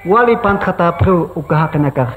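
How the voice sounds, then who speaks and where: An actor playing an earnest, brotherly evangelical pastor in a radio drama. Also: male voice, sits high, animated, moderate pace, a little hiss or hum. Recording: dull reverberant radio drama, faint music.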